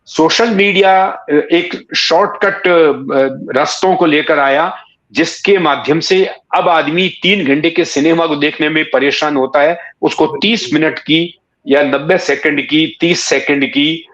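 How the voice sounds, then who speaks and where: A man in his sixties with a fairly high voice, heard on slightly dull, lo-fi audio. He speaks as an interview guest, explaining his ideas reflectively in literary vocabulary. He often stops mid-sentence to search for the right word. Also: fairly even intonation, takes it slowly, a bit bright. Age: 60s. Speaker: interview guest, satirist and writer. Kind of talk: reflective conversational interview answers.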